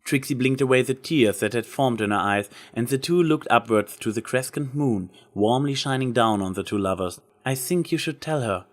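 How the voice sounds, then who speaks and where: A man's voice: mid-range voice, normal speed, few pauses. A man reading a story aloud as a scripted narrator. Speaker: narrator, fan fiction reader.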